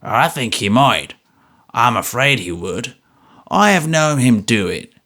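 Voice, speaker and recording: A man's voice: mid-range voice, sing-song, slow pace, pushed and bright, heavily acted. A man voicing a grasping, crippled old miser who gasps and wheedles, out of breath. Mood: wary. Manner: wheedling, gasping, sputtering insults.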